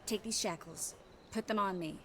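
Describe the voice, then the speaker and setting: An actress voicing a resolute young-sounding sorceress in a video game, speaking in an earnest, assured manner. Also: female voice, mid-range voice, normal speed, sharp tone, a little hiss or hum, lightly acted. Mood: urgent, persuasive. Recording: music behind the voice.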